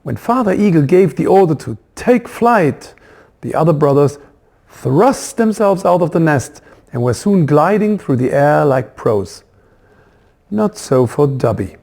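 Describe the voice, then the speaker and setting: A man reading a children's book as its author, in expressive storybook narration. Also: fairly high pitch, unhurried, vocal fry.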